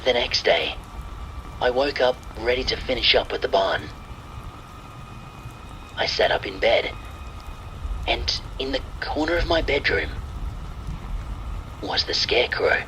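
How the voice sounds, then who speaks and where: A man reading a scripted first-person horror story in a measured, deliberate storytelling manner. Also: mid-range voice, low-quality recording. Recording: steady rain noise behind the voice. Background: rain and thunder sounds.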